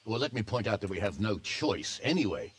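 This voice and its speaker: An actor playing a practical, resolute medieval lord in a video game, speaking in a measured, pragmatic way. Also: male voice, on the low side, sing-song. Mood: grave, reasoning. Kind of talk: dramatic video game acting.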